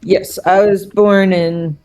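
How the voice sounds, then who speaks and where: Female tabletop player: unhurried, hesitant, dry delivery, mid pitch, casual improvised chat. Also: steady tone, a little mellow. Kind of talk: casual, unscripted in-game chat.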